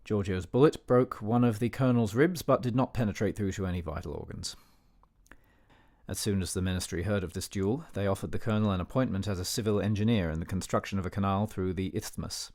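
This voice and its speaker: Low-voiced, dignified older gentleman narrator played by an actor. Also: lightly acted. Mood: measured, reflective. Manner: measured, dignified, censorious. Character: disapproving, educated older man narrating a memoir. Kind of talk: formal scripted radio play narration.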